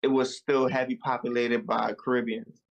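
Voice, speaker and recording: A young man born in Jamaica and raised in America talks over a video call. His voice is gravelly at the edges and sits at an average pitch. He speaks in a loose, laid-back, reflective way. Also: level tone, moderate pace, highs cut off. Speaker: musician guest.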